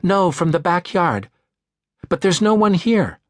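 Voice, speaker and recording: A man's voice: high voice, sing-song, measured pace, dry recording, voice pushed up. A man reading an audiobook, voicing an anxious young working woman phoning for help. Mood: uneasy.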